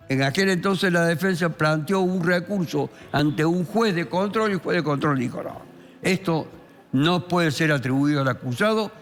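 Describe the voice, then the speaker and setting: An Argentine man, a criminal lawyer and columnist, telling a court case in a deliberate, theatrical way with emphatic pauses. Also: fairly high pitch. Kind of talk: dramatic storytelling of a court case. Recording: long reverberant hall sound, faint music behind.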